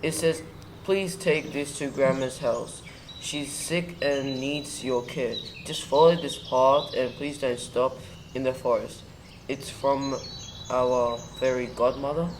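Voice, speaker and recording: Helpful young hero, acted by a male performer, middle pitch, school radio play, faint birdsong and noise. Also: steady tone, slow pace, close to an everyday voice. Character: Jack, keen helpful boy hero in storybook.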